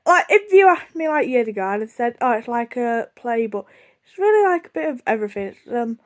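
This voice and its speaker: A woman's voice: on the high side, sing-song, a little fast. A young woman with a British accent rambling casually through a storytime vlog, chatty, self-deprecating and easily sidetracked. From United Kingdom. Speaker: video blogger.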